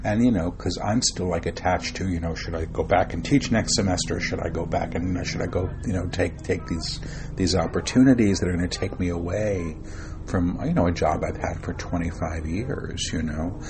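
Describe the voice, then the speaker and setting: A man's voice: low-pitched, moderate pace, noisy background. A middle-aged man talking as an interview guest about his spiritual work, rambling with frequent fillers and restarts. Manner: self-described shy and reserved. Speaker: guest, spiritual channeler and author. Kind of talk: conversational interview.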